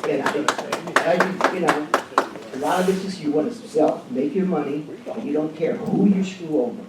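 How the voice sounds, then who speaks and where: A man's voice: on the high side, normal speed. Angry man in a very echoey hall. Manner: angry, accusatory, blunt. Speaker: resident speaking at public comment. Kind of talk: public comment at a meeting. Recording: very echoey hall, far from mic, loud noise.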